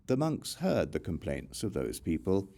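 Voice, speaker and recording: A man reading scripture as an audiobook narrator, in a measured, formal, scripted recitation. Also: low-pitched, sing-song, creaky, warm tone.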